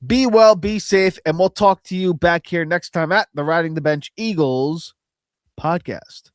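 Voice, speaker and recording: A man's voice: on the high side, unhurried, dry recording. Male podcast host, nasal and stuffed up, dry close sound, rambling opinions. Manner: rambling, opinionated, self-deprecating. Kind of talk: casual solo sports commentary.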